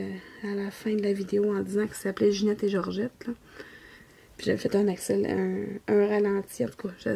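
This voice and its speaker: A woman's voice: medium pitch, gravelly, a little mellow, slightly rough. A woman in her thirties with a Québécois French accent, chatting casually to viewers while she crafts and rambling, self-correcting, and often trailing off into a near whisper. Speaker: diamond painting vlogger.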